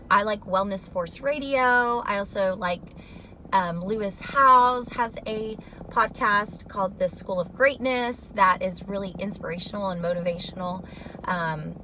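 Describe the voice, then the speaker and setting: A woman's voice: medium pitch, heavy reverb. A woman in her thirties chatting casually to viewers in a vlog, recorded on a handheld phone. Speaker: fitness coach and vlogger. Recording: clearly noisy phone recording with ambience.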